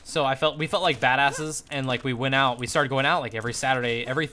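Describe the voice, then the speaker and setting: A young man telling a casual story while gaming on a livestream, animated and slangy, swearing freely. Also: mid-range voice, fast, slight background noise. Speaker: live streamer.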